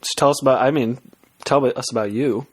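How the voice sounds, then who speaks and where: A man's voice: medium pitch, a little fast, breathy edge. A man chatting casually on a comedy podcast, recorded in a bedroom on shared microphones. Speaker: podcast host. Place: bedroom, under a bunk bed.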